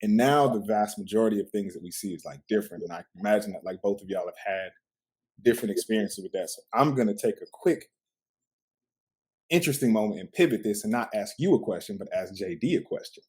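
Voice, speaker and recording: A man's voice: fairly low pitch, quick pace, a little mellow, breathy edge. A man hosting a podcast, talking in a reflective, casual way and often checking in with "right?". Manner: reflective, peppers talk with "like" and "right?". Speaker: podcast host. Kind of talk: casual conversational interview.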